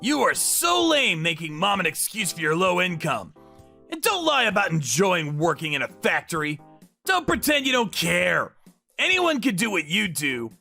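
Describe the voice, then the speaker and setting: A man acts out an arrogant young salesman brother in a narrated story. The voice is high and forceful, with a theatrical, mocking swagger and lively swings of pitch. Music plays underneath. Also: normal speed, creaky, exaggerated voice. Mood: scornful. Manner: sneering, theatrical, pleading when cornered.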